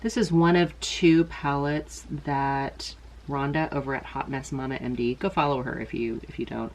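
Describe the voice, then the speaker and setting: A middle-aged woman speaks in a low register, her voice slightly stuffy from congestion. She chats casually and rambles a little, poking gentle fun at herself as she talks through a makeup look. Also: steady tone, moderate pace, slight background noise. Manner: chatty, rambling, self-deprecating. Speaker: beauty vlogger. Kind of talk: casual makeup tutorial chat.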